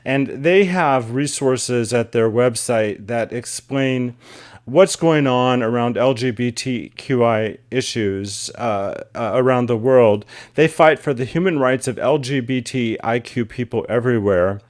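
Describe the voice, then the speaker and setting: A man's voice: mid-range voice, measured pace. A man hosting an interview show over a video call, asking questions and reading a statement aloud with hesitant fillers. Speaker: talk show host.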